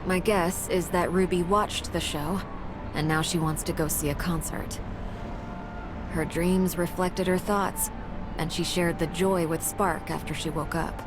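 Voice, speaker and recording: A woman voicing a composed, caring adult leader in video game dialogue, explaining things in a measured, thoughtful way. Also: lowish voice, steady tone, noisy background, natural voice. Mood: calm, thoughtful.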